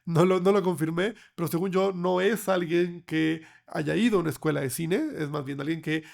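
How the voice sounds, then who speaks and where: A slightly hoarse man with a Mexican accent explaining things conversationally as a podcast guest, often ending on tag questions. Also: on the high side, steady tone, normal speed, darkish tone. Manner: checks in with frequent tag questions. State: slightly hoarse from getting over a cold. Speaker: podcast guest, film researcher.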